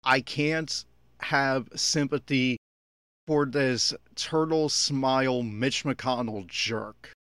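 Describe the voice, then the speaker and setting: A man's voice: medium pitch, very slow, fairly bright tone, no echo, clean studio sound. A man giving unscripted, opinionated audio commentary on an anime episode, critical and exasperated in manner.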